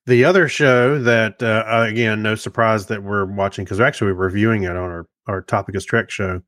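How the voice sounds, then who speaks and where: A man's voice: fairly low pitch, steady tone, fairly quick, a bit bright, no echo, studio quality. A middle-aged man co-hosting a podcast, chatting in an agreeable, conversational way about films and TV shows. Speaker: podcast co-host.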